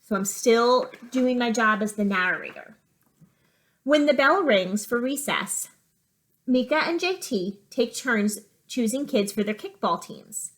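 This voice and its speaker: A woman's voice: on the high side, expressive, unhurried, low-quality recording. A woman teacher giving a reading lesson for young children, reading a picture book aloud and explaining it in simple terms. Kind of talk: reading lesson with storybook read-aloud and asides.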